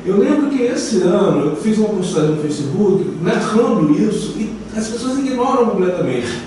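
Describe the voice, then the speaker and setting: A person from Bahia with a Bahian Brazilian accent, speaking conversationally as a participant in a seminar discussion. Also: female voice, medium pitch, big pitch swings, moderate pace, mellow. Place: echoey seminar room. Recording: very echoey room, distant mic, faint noise.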